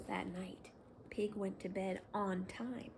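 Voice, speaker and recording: A woman hosting a children's read-aloud, telling a bedtime story in a gentle, expressive way. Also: mid-range voice, takes it slowly, vocal fry, a little hiss or hum. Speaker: children's story reader and host.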